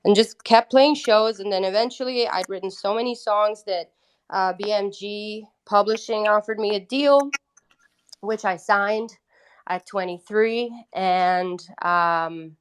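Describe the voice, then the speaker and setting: A woman's voice: medium pitch, measured pace, clean tone. A woman in her twenties from Italy, a native Italian speaker, casually telling stories as an interview guest in a chatty, candid way. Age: 20s. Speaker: interview guest, independent musician. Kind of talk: casual personal storytelling.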